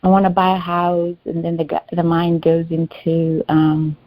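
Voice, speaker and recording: Hesitant Albanian-native woman, slightly low voice, fillers and restarts, flat dry call audio. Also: normal speed, dry recording, dull audio. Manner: hesitant, searching for words, many fillers. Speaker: client in a healing session. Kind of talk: personal sharing in a one-on-one session.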